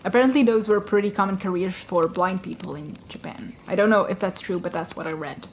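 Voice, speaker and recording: A woman's voice: mid-range voice, a little fast, slight background noise. Woman co-hosting a true-crime podcast. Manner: narrates researched notes, with fillers and hedges. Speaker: podcast co-host.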